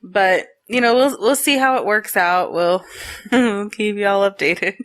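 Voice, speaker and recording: A woman's voice: mid-range voice, a little slow, a bit bright. A woman in her late twenties from Texas, co-hosting a casual podcast chat, laughing often and teasing her partner. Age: 20s. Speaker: podcast co-host. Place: home in Arizona.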